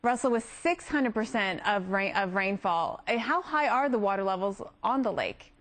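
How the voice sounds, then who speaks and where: American lady news anchor, medium pitched voice, doing live tv intros and questions. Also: expressive, normal speed, fairly bright tone.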